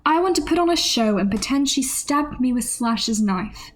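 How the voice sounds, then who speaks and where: A woman's voice: high voice, lively intonation, moderate pace, soft background music. A woman playing an eager, theatrical tabletop gamer in a radio drama. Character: eager, theatrical female tabletop game player.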